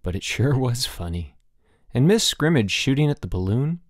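A man's voice: mid-range voice, very animated, normal speed, no echo, studio quality. A man voicing a brash, cocky, mischievous schoolboy in an audiobook. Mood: amused. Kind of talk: audiobook character voice.

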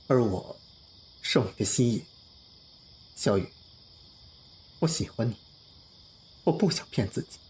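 A man's voice: medium pitch, expressive, a little slow, pauses often, a little mellow, slight background noise, close to an everyday voice. A man playing an earnest, lovestruck young pop star in an audio drama, speaking in an emotive, confiding way. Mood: earnest pleading. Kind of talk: Chinese audio drama acting, heartfelt monologue and dialogue.

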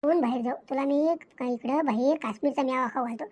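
A man acts out a flustered husband in a cartoon comedy. The voice is unnaturally high and rushes along. He pleads and insists over and over in a rural Maharashtrian Marathi accent. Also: a little mellow. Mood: coaxing. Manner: repetitive, insistent. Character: pleading, flustered cartoon husband caught between two wives.

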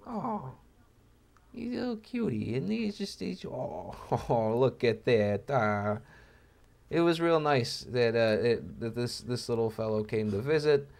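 A man's voice: medium pitch, lively intonation, unhurried, creaky, darkish tone. Casual, enthusiastic young male birding narrator. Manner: enthusiastic, informal, joking, educational. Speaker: amateur birder narrating wildlife footage. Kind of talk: casual nature commentary over footage.

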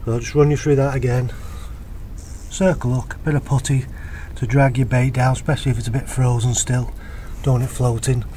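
A man, casually explaining his fishing rig in a relaxed, plain-spoken vlog, recorded outdoors by a lake on a camera mic. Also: mid-range voice, brisk, a little hiss or hum. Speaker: angler showing a fishing rig.

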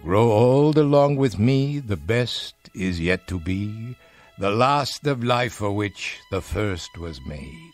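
An actor playing an aging, devoted husband in a radio drama, with heartfelt theatrical delivery. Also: male voice, fairly low pitch, lively intonation, takes it slowly, faint music behind. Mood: tender, resigned.

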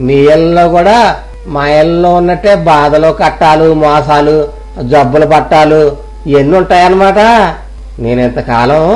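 A man voicing a young village man who boasts and daydreams aloud about rising high. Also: darkish tone, poor audio. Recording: music playing behind.

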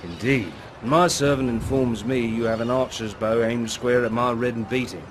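A man's voice: mid-range voice, moderate pace, slight background noise, natural voice. A man voicing a glib, eccentric pirate captain in a video game, wry, flippant and theatrical. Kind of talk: video game cutscene acting.

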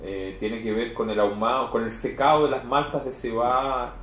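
A man's voice: mid-range voice, steady tone, moderate pace, fairly bright tone. A man in his forties reviewing beer in casual chat, explaining at length with many fillers, recorded from a camera across a home room. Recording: echoey room with faint noise.

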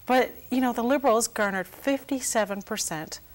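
A middle-aged woman hosting a live TV call-in show, brisk and chatty as she brings in callers. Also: on the high side, rough recording. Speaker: talk show host. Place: TV studio set, indoors.

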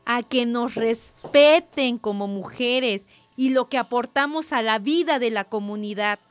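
An actress playing a resolute, dignified village wife, firm and assertive, in a radio drama. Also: female voice, on the high side, unhurried, lightly acted.

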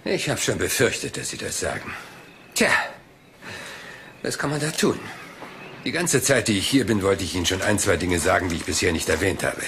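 An actor playing a polite, seemingly scatterbrained police inspector in a crime drama, hesitant, rambling and deferential. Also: male voice, low-pitched, normal speed, pauses often, noisy background. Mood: hesitant, musing. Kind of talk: German crime drama dialogue.

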